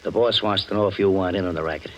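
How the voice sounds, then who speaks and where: A man's voice: low-pitched, very fast, natural voice. An actor playing a dim, menacing henchman in a 1940s radio crime drama, talking in tough-guy slang. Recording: muffled, hissy old 1940s radio recording.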